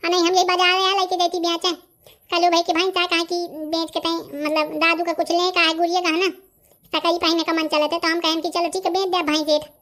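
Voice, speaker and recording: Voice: very high-pitched, level tone, brisk, fairly bright tone. An actor with a Bundelkhandi accent voicing a chatty, stubborn young villager in a comedy cartoon. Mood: chatty, insistent.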